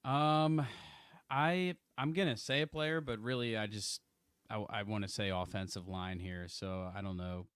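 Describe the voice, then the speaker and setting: Animated young man on a sports podcast. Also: fairly low pitch, measured pace, smooth, no echo, clean studio sound. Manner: animated, rambling with restarts. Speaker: podcast co-host. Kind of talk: casual sports chat.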